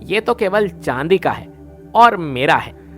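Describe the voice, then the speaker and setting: A man's voice: sits high, big pitch swings, slow pace, bright, forceful tone, faint music behind, exaggerated voice. A man playing a greedy village thief in a devotional story, brash, colloquial and grumbling. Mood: bargaining.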